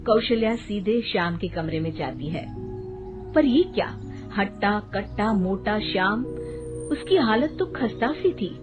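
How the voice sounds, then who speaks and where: A woman's voice: medium pitch, lively intonation, a little slow. A woman reading a scripted story as its narrator. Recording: thin phone-like band, loud music.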